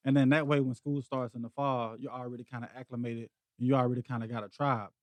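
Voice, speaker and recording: A man's voice: medium pitch, quick pace, no room sound. Easygoing guy in his 40s from memphis, storytelling on a podcast, dry close mic. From Memphis, Tennessee. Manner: relaxed storytelling, laughs easily. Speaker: podcast guest. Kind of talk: casual conversation.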